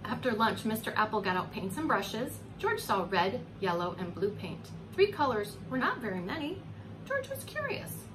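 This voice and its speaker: A woman's voice: fairly high pitch, sing-song, moderate pace. A woman in her forties reading a children's story aloud as a preschool teacher, very expressively, drawing out words and putting coaxing questions to her little listeners. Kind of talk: animated children's story read-aloud with asides to young listeners. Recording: clearly noisy home recording.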